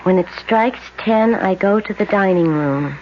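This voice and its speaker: A hypnotized young woman voiced by an actress who speaks in a flat, entranced murmur and echoes each instruction back. The recording is dull and lo-fi, like an old radio broadcast with a faint hiss. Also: medium pitch, a little slow, natural voice. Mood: dazed, entranced. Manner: flat, dazed, repeats words back. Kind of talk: 1970s radio drama acting.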